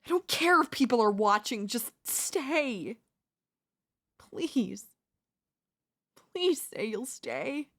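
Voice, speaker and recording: A woman's voice: on the high side, animated, slow pace, long pauses, a bit bright, heavily acted. A young woman playing an obsessive, lovesick yandere neighbor in an intimate, intense audio roleplay. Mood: desperate, begging. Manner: intimate, pleading, emotionally intense delivery. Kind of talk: ASMR audio roleplay acting.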